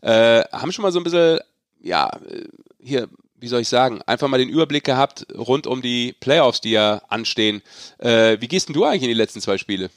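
A man's voice: mid-range voice, expressive, brisk, no room sound, clean studio sound. A man hosting a podcast as casual chat, informal and bantering. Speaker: podcast host.

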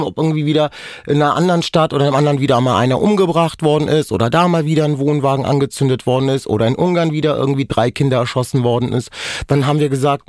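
A man's voice: fairly high pitch, a little mellow, no room sound, studio quality. Impassioned man in his forties. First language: Romani. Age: 40s. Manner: impassioned, emphatic, speaks in long building sentences. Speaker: podcast guest. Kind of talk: personal testimony in conversation.

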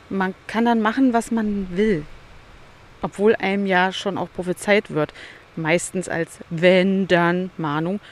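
A woman's voice: mid-range voice, a little hiss or hum. A woman hosting a solo podcast, thinking aloud in a candid, self-questioning way, recorded outdoors in a beach chair by the sea. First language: German. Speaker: podcast host. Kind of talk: solo reflective podcast monologue.

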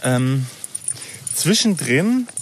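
A man's voice: medium pitch, big pitch swings, very slow. A man from the Palatinate in Germany hosting a casual podcast, rambling and joking as he talks. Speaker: podcast host. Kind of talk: casual chat. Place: outdoors, walking through fields. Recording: outdoor recording with ambient noise. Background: outdoor ambience.

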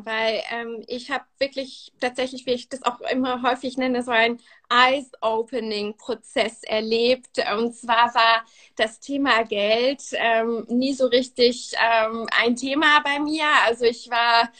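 A young Swiss woman with a Swiss German accent talks openly as an interview guest. Her voice sits fairly high, and she fills her speech with hesitations and restarts as she tells her story. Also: fairly even intonation, measured pace, pushed and bright. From Switzerland. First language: Swiss German and French. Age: 20s. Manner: chatty, many fillers and restarts. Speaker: podcast guest. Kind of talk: live interview.

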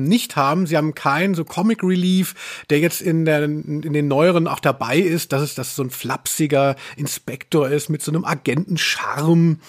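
A man co-hosting a podcast, talking casually and in nerdy detail about audio dramas. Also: fairly high pitch. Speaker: podcast co-host. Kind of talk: casual podcast discussion about radio plays.

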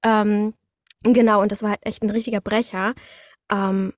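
A young woman chatting casually as a guest, telling anecdotes in a lively, slangy way. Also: mid-range voice, moderate pace, dry recording, clean studio sound. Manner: animated storytelling with slangy, colloquial wording. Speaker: guest, voice actress.

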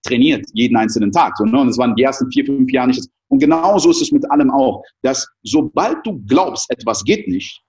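A man in his thirties, raised in the Ivory Coast, telling his life story as an interview guest with lively, filler-heavy talk. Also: mid-range voice, brisk, hardly any pauses, highs cut off. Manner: animated storytelling with many fillers.

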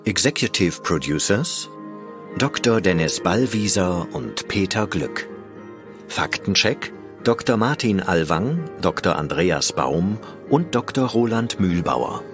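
A man's voice: low voice, lively intonation, takes it slowly. A man reading scripted podcast credits as an announcer. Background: music.

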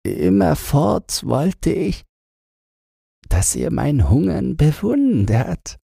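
A man voicing a frail, dying hunger artist in an audiobook, meek and pleading, with a thin, weak, whispery voice and halting speech. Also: a little high, very animated, creaky, warm tone, breathy edge, no room sound, clean studio sound, pitched above the performer's own voice, heavily acted.

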